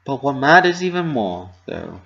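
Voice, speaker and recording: A man voicing a composed, forgiving, refined young female cartoon pony in a measured, formal way on a dull recording. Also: mid-range voice, very animated, gravelly, fairly bright tone. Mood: reassuring.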